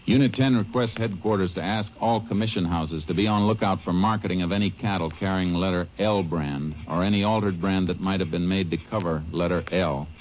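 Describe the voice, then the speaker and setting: An actor playing a steady, methodical Texas Ranger detective in an old radio drama, speaking calm and low. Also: male voice, a little fast, hardly any pauses, a little hiss or hum, close to an everyday voice. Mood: level-headed. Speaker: lead actor. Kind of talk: 1950s radio drama acting. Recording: muffled old radio recording.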